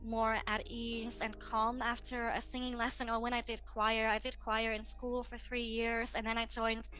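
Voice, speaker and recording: A young German woman in her twenties talks in a bubbly, enthusiastic way as an interview guest. Her voice sits a little high, with a bright, forceful edge. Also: level tone, soft background music, rough recording. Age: 20s. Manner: enthusiastic, bubbly, quick to laugh. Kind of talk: casual interview chat.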